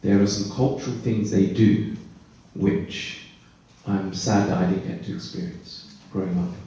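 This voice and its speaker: Deep-voiced man in an echoey hall. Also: steady tone, mellow, highs cut off. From Britain. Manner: reflective, pausing mid-thought. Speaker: panelist, care leaver. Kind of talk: personal testimony on a panel. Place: conference hall. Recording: echoey hall, voice far from mic.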